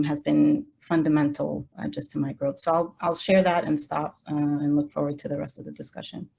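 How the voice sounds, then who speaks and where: Spanish-native woman on a video call, pretty flat delivery, lots of ums, reflective. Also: deep voice, vocal fry. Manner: reflective, hesitant with many fillers. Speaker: faculty member. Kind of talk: roundtable discussion.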